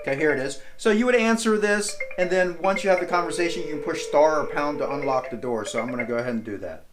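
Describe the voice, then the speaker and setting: A man in his forties with a slightly high voice walks through a product demonstration. He explains things step by step, in plain, informal language. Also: quick pace, music in the background. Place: small indoor office room, standing behind a demo table.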